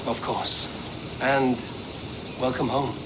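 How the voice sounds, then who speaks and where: A man's voice: mid-range voice, level tone, slow pace, very noisy, close to an everyday voice. A man playing a stoic, loyal Viking clan leader in a video game, speaking plainly and with restraint. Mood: calm, welcoming. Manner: restrained, plain-spoken, earnest. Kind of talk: dramatic video game acting.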